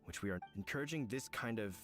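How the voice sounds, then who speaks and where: An actor playing an earnest, officious knight with a formal, stiff manner in a video game. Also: male voice, mid-range voice, lively intonation, fast, fairly bright tone, faint music behind, close to an everyday voice.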